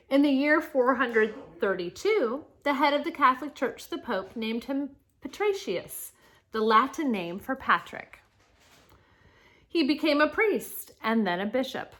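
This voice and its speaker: A woman's voice: high-pitched, expressive, a little slow, fairly bright tone. A woman teacher reading a picture book aloud to children, explaining things and speaking to her young listeners directly with casual asides.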